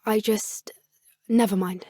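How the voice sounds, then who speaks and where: A woman with a British accent voicing an earnest, soft-spoken teenage witch who loves animals, in a video game. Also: mid-range voice, fairly even intonation, slow pace, dry recording, studio quality. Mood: hushed.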